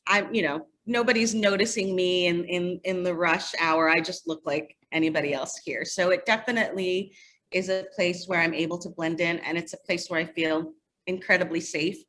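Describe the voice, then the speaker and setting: A woman answering interview questions in a casual, animated storytelling way. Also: on the low side, normal speed, a little hiss or hum. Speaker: interview guest, magazine editor.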